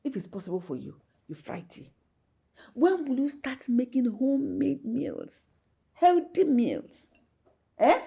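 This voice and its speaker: A woman's voice: fairly low pitch, sing-song, quick pace, gravelly, mellow, slightly rough, exaggerated voice. An actress with a Nigerian accent playing a domineering, disapproving middle-aged mother who scolds and mixes in local words. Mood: scolding. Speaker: actor. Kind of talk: comedy skit acting.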